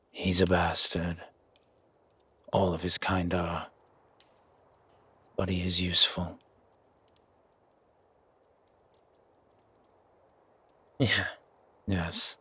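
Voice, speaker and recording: Gruff werewolf founder, played by a male actor: heavily rasping low voice, slow guarded delivery, dry and protective, for roleplay audio drama. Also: pushed and bright, no echo, exaggerated voice. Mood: dry, grudging. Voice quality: husky, gravelly rasp. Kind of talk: roleplay audio drama, intimate one-sided dialogue.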